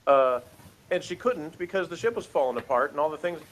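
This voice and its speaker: A man's voice: fairly high pitch, expressive, fairly quick, a little hiss or hum, low-quality recording. A man giving a casual, opinionated review as a podcast co-host, rambling and emphatic in an exasperated way.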